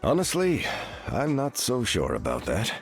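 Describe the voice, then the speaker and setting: A man's voice: medium pitch, wildly swooping pitch, measured pace, soft background music. A man playing an uneasy, polite hero in a video game. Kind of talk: video game character dialogue.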